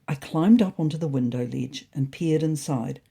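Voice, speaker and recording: A narrator reading a scripted first-person animal story in an expressive, wryly dramatic way. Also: medium pitch, moderate pace, creaky, slightly rough.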